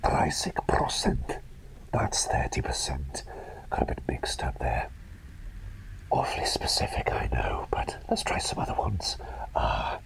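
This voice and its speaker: A man voicing an eccentric, doting plague doctor in a breathy, hesitant, soothing whisper for an ASMR roleplay. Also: extremely low pitch, full character voice, poor audio. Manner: hesitant, muttering, gently soothing and coaxing.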